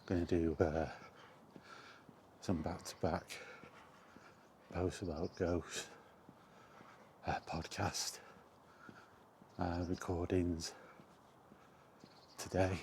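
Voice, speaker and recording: Male solo podcaster, deep-pitched and unhurried, hesitant casual chat on a walk, light outdoor background noise. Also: darkish tone. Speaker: podcast host chatting solo. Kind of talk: casual rambling chat recorded while out walking. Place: outdoors, walking to and in a park.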